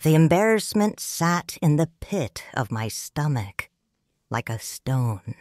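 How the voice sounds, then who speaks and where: A woman narrating a story in first person, calm and reflective. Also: low voice, slow pace, no room sound, clean studio sound.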